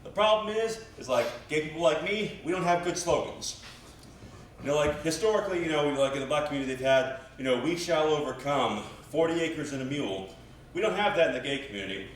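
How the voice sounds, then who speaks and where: A man's voice: a little high, expressive, fast talker. An American man performing stand-up comedy at an open mic, with a hesitant, rambling delivery full of trailing asides. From West Virginia family, United States. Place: small live comedy venue. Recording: echoey room, distant mic, faint noise.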